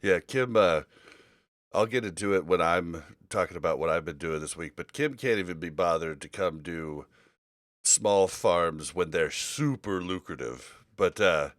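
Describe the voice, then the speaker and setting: Male gaming podcast host, lowish voice, no room sound, slangy and sweary. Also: a little slow, a bit bright, no room sound, clean studio sound. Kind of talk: casual gaming podcast chat.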